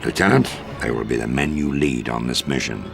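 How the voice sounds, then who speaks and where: Very deep-voiced American military commander. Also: male voice, vocal fry. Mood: brisk, resolute. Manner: authoritative, measured, formal. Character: commanding older American military officer briefing a soldier. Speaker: briefing officer. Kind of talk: scripted video game mission briefing. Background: music.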